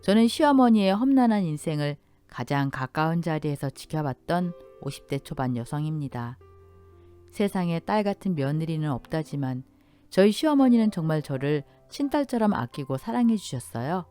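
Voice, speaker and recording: A woman narrating a scripted first-person story in a gentle, polite storytelling manner. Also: low voice, very animated, moderate pace, clear, resonant tone, faint music behind.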